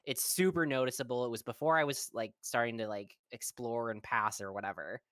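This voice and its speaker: A young adult guest in a casual podcast chat, talking in a relaxed, rambling way with lots of fillers. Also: mid-range voice, brisk, no echo, clean studio sound.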